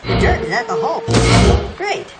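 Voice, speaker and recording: A woman's voice: fairly high pitch, huge pitch swings, normal speed. A woman giving short step-by-step instructions and encouraging praise for a children's game. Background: loud game music.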